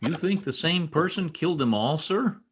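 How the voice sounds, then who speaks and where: An actor playing an earnest, insistent young police trooper in an old-time radio drama, speaking with urgent emphasis. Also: male voice, on the high side, animated, moderate pace, husky.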